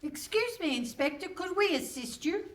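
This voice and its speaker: A woman's voice: very high-pitched, expressive, moderate pace. A woman playing a cheeky, plucky amateur sleuth in a comic radio play. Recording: echoey hall, distant mic, faint noise.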